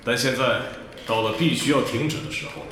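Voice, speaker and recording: A man's voice: mid-range voice, a bit bright, natural voice. A man playing a formal, evasive club manager giving a rehearsed press statement in an audio drama, in a very echoey hall. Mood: grave, diplomatic. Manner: measured, official, rehearsed. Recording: very echoey hall, faint noise, ambience.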